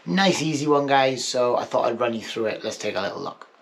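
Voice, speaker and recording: A man's voice: medium pitch, a little fast. A British man in his thirties who is losing his voice, chatting casually as he walks through a DIY car repair tutorial. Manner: relaxed, chatty, filler-heavy explaining. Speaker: channel host, car mechanic. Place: small home workshop office indoors.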